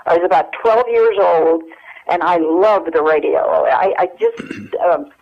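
A woman's voice: on the low side, gravelly. Older American woman on dull phone line. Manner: halting, anecdotal. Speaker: guest. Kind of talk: casual reminiscing interview. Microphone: telephone. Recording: dull lo-fi telephone hook-up.

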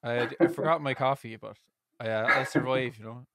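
A man in his thirties with an Irish accent, hosting a podcast from his home music room with a studio mic, talking loudly and energetically and laughing often. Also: medium pitch, brisk. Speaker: podcast host. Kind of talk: casual chat. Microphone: headset and studio mic.

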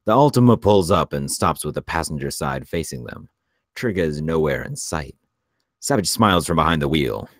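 Very deep-voiced man narrating a screenplay. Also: animated, moderate pace, dry recording, studio quality. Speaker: narrator reading stage directions.

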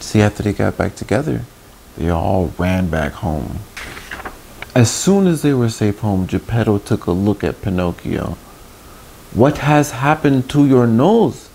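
Male storybook reader for kids: low, gravelly, very expressive, chatty asides, some background noise. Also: normal speed, low-quality recording. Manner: slips in asides and questions to young listeners. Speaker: children's story reader, host. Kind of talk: expressive picture-book read-aloud for children. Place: small indoor room.

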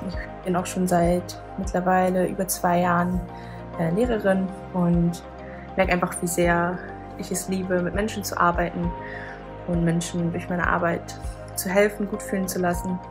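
A woman in her mid-twenties introduces herself to camera. Her voice sits a little low, with music clearly playing behind it. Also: level tone, normal speed. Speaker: student and yoga teacher. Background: background music.

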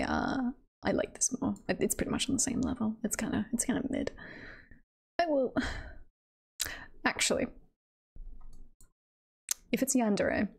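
Playful woman streamer with creaky voice. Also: mid-range voice, darkish tone. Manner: giggly, playful, rambling with trailing-off thoughts. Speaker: streamer and voice roleplayer chatting with viewers. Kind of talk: casual live stream chat.

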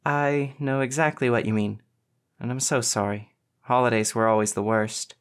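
A man voicing an earnest, expressive and caring teenage girl in audiobook dialogue. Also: medium pitch, moderate pace, clear, resonant tone, natural voice. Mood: concerned.

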